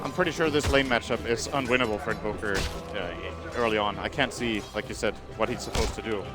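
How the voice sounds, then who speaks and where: A man giving measured, explanatory analysis of strategy as an esports co-commentator. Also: on the low side, over loud music.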